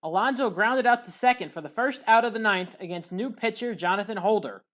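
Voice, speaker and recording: A woman delivering scripted play-by-play narration as a sports highlights commentator. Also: a little fast, fairly bright tone.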